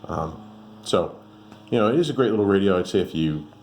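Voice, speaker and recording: A man with a low, deep voice talks through a product review in a casual, unscripted way. He rambles conversationally, thinking aloud as he goes. There is faint background noise in the recording. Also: lively intonation, brisk, darkish tone. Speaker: radio reviewer and channel host.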